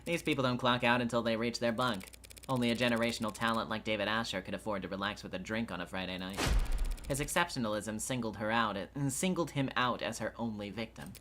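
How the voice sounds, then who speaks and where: A man voicing a sharp, sardonic defense counselor in a mystery game, cross-examining in a pointed, accusatory way. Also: lowish voice, quick pace, a little hiss or hum, close to an everyday voice. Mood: confident, accusing. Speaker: streamer voice acting a game playthrough. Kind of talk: dramatic live character reading of game dialogue. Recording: music behind.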